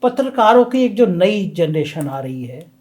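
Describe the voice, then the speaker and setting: A man's voice: high-pitched, very animated, measured pace, darkish tone. A man hosting an interview, asking probing questions in an admiring tone. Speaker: interviewer and channel host.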